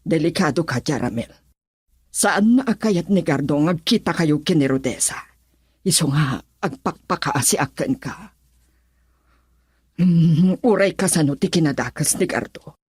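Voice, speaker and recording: A woman's voice: low voice, animated, a little slow, darkish tone, breathy edge, dry recording, studio quality, exaggerated voice. An actress with a Filipino accent playing a wronged, earnest wife and mother in a radio drama. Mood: grave warning. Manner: earnest, emotional.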